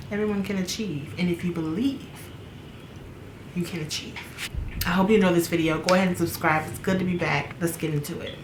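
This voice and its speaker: A beauty vlogger woman with a slightly low voice walks through her makeup routine. She keeps it casual and chatty, talking straight to the camera in an upbeat way. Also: background noise.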